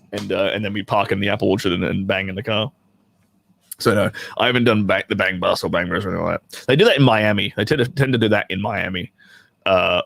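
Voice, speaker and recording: A man's voice: lowish voice, gravelly, no echo. A man who performs in adult films hosting a casual livestream, talking to his chat in a rambling, profane, joking way.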